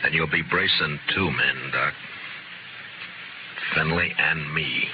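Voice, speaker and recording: Stern old west marshal, low dry voice, muffled vintage radio drama. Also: male voice. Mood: firm, calm. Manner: firm, deadpan, measured. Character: stern, steady, dry-witted frontier lawman. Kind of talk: 1950s radio western acting. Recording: muffled 1950s radio recording, heavy noise.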